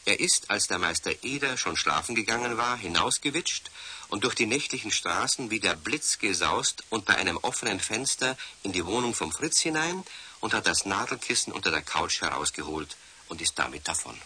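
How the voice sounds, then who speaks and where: A man narrating a scripted children's story, animated and addressing his young listeners directly. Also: on the low side, moderate pace. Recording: slightly hissy old radio recording.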